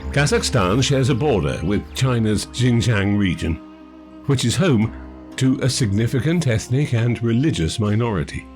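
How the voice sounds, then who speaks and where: A man reading scripted documentary narration in a measured, formal manner. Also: medium pitch, expressive, darkish tone. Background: background music.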